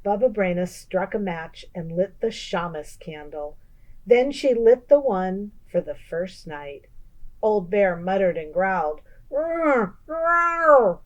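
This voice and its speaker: A woman narrating a children's story in an expressive, deliberate teacher's read-aloud style. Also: on the low side, poor audio.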